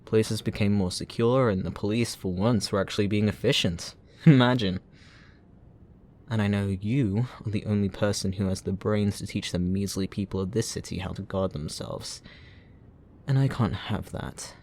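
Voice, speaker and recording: A man playing a gloating, obsessive supervillain in a roleplay ASMR audio drama, teasing and possessive, with a theatrical, drawn-out delivery. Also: on the low side, darkish tone, lightly acted. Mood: smug, menacing.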